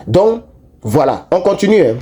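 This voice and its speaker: A man giving emphatic, repetitive spoken commentary to his followers, his pitch jumping up on key words. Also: big pitch swings, moderate pace, over a noisy crowd. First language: an African national language. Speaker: online political commentator.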